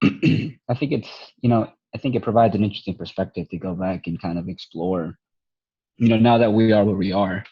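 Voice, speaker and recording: Husky, low-voiced man in a laid-back chat. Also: fairly quick, no room sound, highs cut off. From Nebraska, United States. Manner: laid-back and easygoing, with fillers and casual swearing. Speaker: podcast co-host. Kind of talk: casual conversation.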